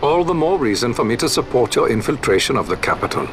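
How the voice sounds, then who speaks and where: An actor playing a determined resistance commander who speaks in formal, resolute sentences. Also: male voice, mid-range voice, very animated, fast talker, slight background noise, close to an everyday voice. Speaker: resistance leader. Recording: game cutscene with loud orchestral score.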